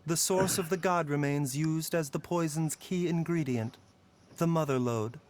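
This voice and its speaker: A man voicing a calm, learned physician in a video game, explaining things in a measured, gentle way. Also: a little high, natural voice.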